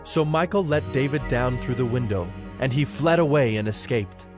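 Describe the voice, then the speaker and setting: A man's voice: mid-range voice, moderate pace. A man narrating scripture from a script as the narrator of a dramatized audio production. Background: music under the voice.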